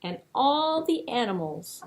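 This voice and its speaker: Slow-paced woman telling a children's story. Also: a little high, big pitch swings. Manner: dramatic pauses, playful sound effects. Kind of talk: expressive puppet-show storytelling for children.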